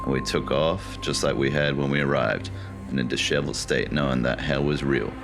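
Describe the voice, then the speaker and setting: A man playing a gruff, war-weary old soldier, telling his story in folksy, plain-spoken scripted narration. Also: very deep voice, fairly even intonation, brisk, over music. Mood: grim, haunted. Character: gruff, war-weary old male soldier recounting eerie wartime encounters. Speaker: voice actor. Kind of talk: scripted narration, film monologue voice reel.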